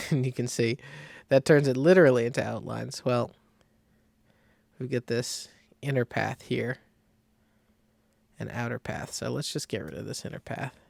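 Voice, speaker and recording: A man giving a casual step-by-step software tutorial, thinking aloud informally as he demonstrates. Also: medium pitch, gravelly, dry recording, studio quality. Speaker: design tutorial instructor.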